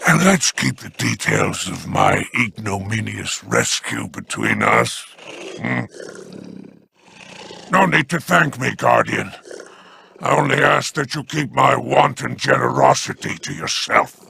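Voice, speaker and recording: An actor playing a sly, smug alien crime lord in a video game, with a gravelly, drawling, theatrical delivery. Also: male voice, mid-range voice, expressive, slow pace, pushed and bright, slight background noise, exaggerated voice. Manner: wheedling and theatrical.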